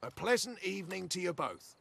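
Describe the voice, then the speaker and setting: Game casting: courteous doorman, fussy, highish voice with lilting, animated intonation. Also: brisk, vocal fry, heavy background noise, heavily acted. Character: polite, fussy male gate attendant servant. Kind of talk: video game character acting.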